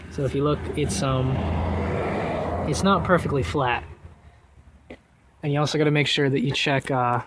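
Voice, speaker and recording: A man giving a casual, hands-on do-it-yourself tutorial in a halting, thinking-aloud way. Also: medium pitch. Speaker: DIY car project vlogger. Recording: noisy recording with clicks.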